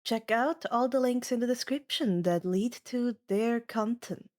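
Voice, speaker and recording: A woman's voice: a little high, measured pace, darkish tone. A woman hosting and narrating a fan fiction reading in a scripted, audiobook style. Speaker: fan fiction reader and channel host.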